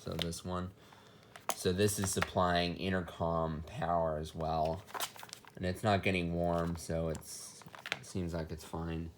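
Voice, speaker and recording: A man's voice: low-pitched, little pitch movement, takes it slowly. A man giving a casual, unscripted technical walkthrough in a matter-of-fact, explanatory way, as a hobbyist showing equipment. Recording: noticeable background noise with clicks.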